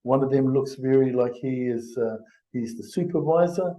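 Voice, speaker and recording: A man from Samoa, giving an informal webinar presentation in a halting, conversational way with frequent pauses. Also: mid-range voice, warm tone, low-quality recording. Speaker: health and safety presenter.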